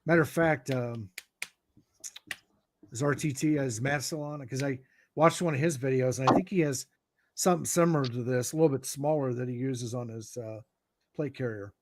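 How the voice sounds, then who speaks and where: Middle aged american guy, normal pitch, explains stuff casually, kinda halting and thinking out loud. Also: a little hiss or hum. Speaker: guest radio expert. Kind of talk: informal explanatory talk.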